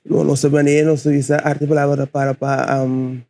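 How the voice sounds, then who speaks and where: A man's voice: a little high, level tone, a little fast, gravelly, dark tone. A man in his twenties, a poet guest on a radio show, answering hesitantly and searching for words. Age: 20s. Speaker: spoken word poet guest. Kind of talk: casual interview answers.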